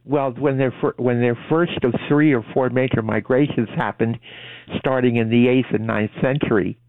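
A man's voice: mid-range voice, steady tone, moderate pace, dry recording. A man speaking as a guest in a casual conversation, explaining things in an informative way. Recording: tinny phone line.

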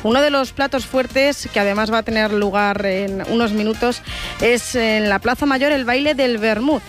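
A woman radio presenter introducing a reporter's field piece in a relaxed, conversational way. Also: a little high, normal speed, over music.

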